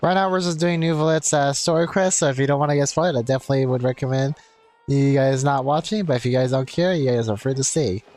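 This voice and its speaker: Casual male game streamer, medium pitch. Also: quick pace, a little mellow. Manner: informal, rambling. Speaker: game livestreamer. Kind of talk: casual livestream chat, reading game text aloud. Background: game music.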